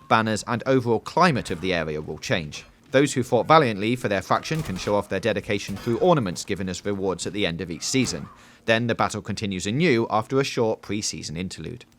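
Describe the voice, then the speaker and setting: A man's voice: lowish voice, fairly bright tone, slight background noise. A man reading a scripted video game preview voice-over in a polished, emphatic presenter style.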